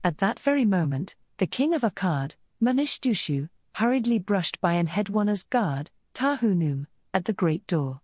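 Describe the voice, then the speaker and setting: A woman narrating a mythic visual novel in a measured, solemn, scripted style. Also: medium pitch, sing-song, a little mellow, dry recording, clean studio sound.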